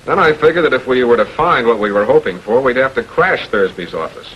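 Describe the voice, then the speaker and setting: A man's voice: medium pitch, animated, lightly acted. An actor plays an authoritative public prosecutor in a 1940s crime series. He is cool and insistent in a mid-range voice. The film sound is muffled and lightly noisy. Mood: narrating. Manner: measured, businesslike, insistent. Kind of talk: 1940s American TV crime drama acting and narration.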